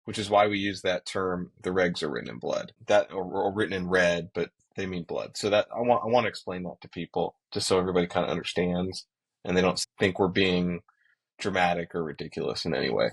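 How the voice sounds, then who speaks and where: A man with a fairly low voice talks as a podcast co-host. He explains things earnestly in a casual, conversational style, like a working pilot speaking to listeners. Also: fairly quick, creaky, husky.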